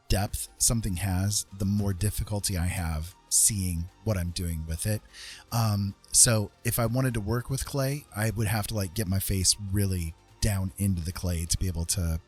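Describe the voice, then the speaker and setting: A man's voice: fairly low pitch, husky. A man hosting a casual live art stream, chatting to viewers in a rambling, hesitant way and often repeating himself. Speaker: live stream host and artist.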